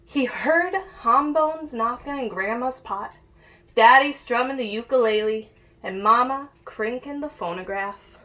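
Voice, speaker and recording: Bright, high-voiced woman reading to children. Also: a little slow. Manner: addresses young listeners directly, encouraging. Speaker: teacher reading a picture book aloud for pupils. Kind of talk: children's read-aloud with asides and questions. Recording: noisy home-made recording.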